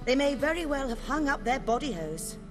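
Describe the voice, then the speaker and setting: Wry, animated theatre lady. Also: sits high, fairly quick. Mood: wry, businesslike. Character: brisk, wry theatre woman. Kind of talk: video game character acting. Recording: music behind.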